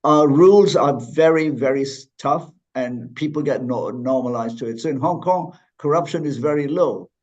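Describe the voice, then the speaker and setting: A man's voice: medium pitch, moderate pace, hardly any pauses, a little mellow, lo-fi sound. A man from Malaysia speaking as a podcast guest, thoughtful, with frequent restarts and fillers. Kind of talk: conversational interview.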